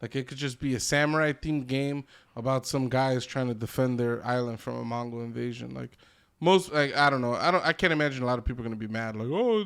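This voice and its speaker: A man's voice: medium pitch, creaky, fairly bright tone, clear, resonant tone. A man co-hosting a podcast, chatting casually about games and films in an opinionated, freely swearing way. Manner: opinionated, profane. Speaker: podcast co-host.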